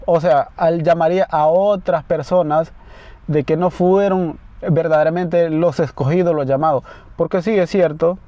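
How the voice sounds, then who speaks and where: A man preaching a sermon, reading scripture slowly and answering his own questions. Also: a little high, warm tone, no room sound. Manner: asks questions and answers them himself; slow, deliberate reading of scripture. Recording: slightly dull, lo-fi sound.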